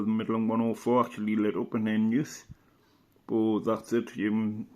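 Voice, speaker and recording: Man, middle-aged, lowish pitch, informal hobbyist commentary, hesitant, rambling. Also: a little mellow. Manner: informal, hesitant, thinking aloud. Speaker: hobbyist vlogger. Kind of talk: casual rambling show-and-tell commentary.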